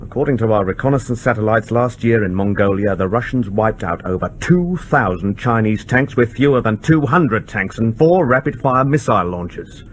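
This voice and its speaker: An actor playing a blunt, argumentative civilian specialist in a radio drama, with an insistent manner. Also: male voice, on the low side, animated, normal speed, few pauses, slight background noise, close to an everyday voice. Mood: urgent, insistent. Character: combative, blunt civilian surveillance specialist aboard a submarine.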